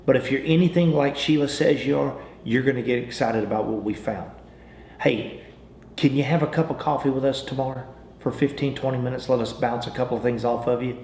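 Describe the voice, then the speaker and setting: A roomy, echoing recording with slight noise carries an American man's voice at an average male pitch. He talks like a folksy salesman coaching others, informal and pitch-ready. He often repeats a phrase or restarts it. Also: steady tone, fairly quick, a little mellow. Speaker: sales trainer. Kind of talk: informal training talk with acted example phone calls.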